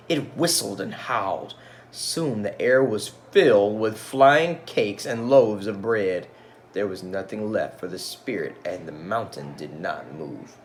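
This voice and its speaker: A man reading a children's story aloud in an expressive style with casual asides. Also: fairly low pitch, slight background noise. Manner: expressive, engaging listeners with questions. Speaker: storybook reader.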